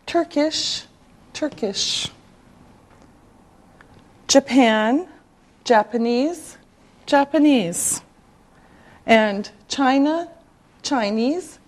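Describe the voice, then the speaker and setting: A woman teaching an English class, speaking slowly and deliberately with clear enunciation. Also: high voice. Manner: slow and deliberate, drawing out words.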